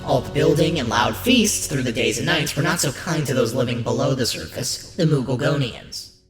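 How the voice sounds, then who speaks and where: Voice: mid-range voice, expressive, normal speed. A narrator presenting a scripted documentary-style piece in an emphatic presenter's delivery. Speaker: narrator and show host. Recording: roomy echo under loud music. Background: loud background music.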